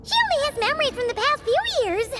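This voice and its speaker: A woman voicing a small, excitable, naive childlike companion creature in a video game cutscene, with a squeaky voice. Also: quick pace. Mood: curious, eager. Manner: refers to self in third person, exclamatory. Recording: background music throughout.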